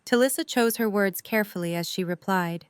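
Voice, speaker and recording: A woman's voice: mid-range voice, brisk, smooth, dry recording, clean studio sound. A woman narrating a scripted audio drama story in prose.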